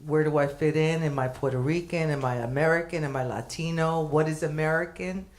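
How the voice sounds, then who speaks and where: A woman's voice: low voice, fairly even intonation, brisk, a little mellow. A woman reflecting aloud to a gathering, searching for words. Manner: searching, reflective, many fillers. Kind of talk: speaking at an artist reception.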